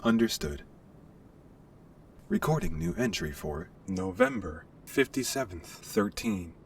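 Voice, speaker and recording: A man's voice: wildly swooping pitch, takes it slowly, creaky, full character voice. A man voicing an impersonal spaceship log recorder, giving formal, clipped system announcements in an audio drama. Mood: impersonal, procedural. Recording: distant, faintly noisy with rumble.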